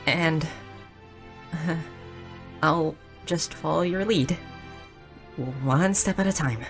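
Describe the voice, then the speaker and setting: A woman voicing a shy, nerdy, curious young goblin girl in a roleplay audio drama, speaking softly and earnestly with small stammers. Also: low-pitched, extremely slow, soft background music, full character voice. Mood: nervous, flustered. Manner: soft, hesitant, stammering, earnest.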